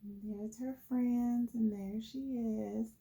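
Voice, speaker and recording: A woman's voice: fairly high pitch, steady tone, slow pace, mellow, poor audio. A woman hosting a children's storytime, reading a picture book aloud and explaining it to young listeners. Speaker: children's storytime host and reader.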